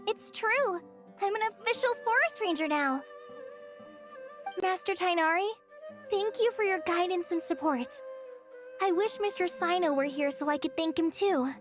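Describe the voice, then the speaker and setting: A woman's voice: very high voice, expressive, moderate pace, long pauses, faint music behind. A woman voicing an earnest, courteous young girl, a forest ranger in a video game. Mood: grateful. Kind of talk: animated video game voice acting.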